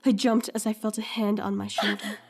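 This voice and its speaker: A woman's voice: medium pitch, flat delivery, brisk, lightly acted. A woman playing a young gifted heroine in an audio drama, earnest and a little unsure, telling her story in the first person. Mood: tense, earnest. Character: hesitant young gifted woman, apologetic, narrating her own fight.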